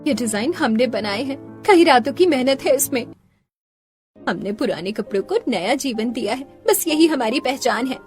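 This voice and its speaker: A woman voicing a humble young Indian sister in a Hindi cartoon story, speaking softly and earnestly. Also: high voice, expressive, normal speed, soft background music. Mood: quietly proud.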